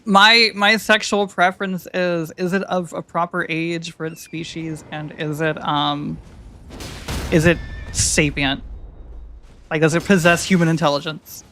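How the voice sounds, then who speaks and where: A woman's voice: low voice, level tone, measured pace, fairly bright tone, slight background noise. A woman streamer with a feminine voice, chatting casually and rambling with many restarts while she plays. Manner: rambling, self-interrupting, joking. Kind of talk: casual gaming stream chat.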